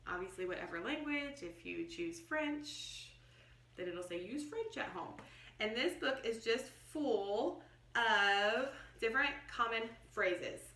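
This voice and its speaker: A woman's voice: on the high side, lively intonation, slow pace, sharp tone. A woman chatting casually to camera in an enthusiastic, emphatic way, like a vlogger reviewing a product. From Texas. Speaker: homeschool vlogger reviewing a subscription box. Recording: echoey, roomy sound.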